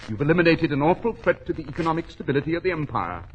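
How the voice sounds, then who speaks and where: A man playing a shrewd, smug gentleman detective, articulate and commanding, in 1940s radio acting style. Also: a little high, lively intonation, racing, muffled recording, lightly acted. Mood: earnest, commanding. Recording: noisy vintage 1940s radio recording.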